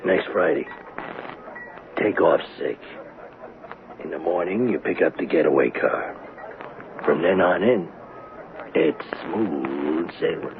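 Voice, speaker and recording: A swaggering young bank robber from a radio drama, low and gravelly. His talk is slangy, slick and cocky. It comes through a thin, noisy old broadcast recording. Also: takes it slowly, pauses often, phone-quality sound, heavily acted. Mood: cocky, persuasive.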